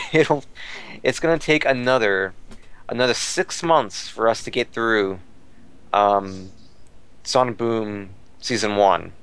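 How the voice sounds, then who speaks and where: A man's voice: on the low side, slow pace, long pauses, a bit bright, clean tone. A man talking casually as a podcast commentator about fan news, rambling and repeating phrases as he searches for words.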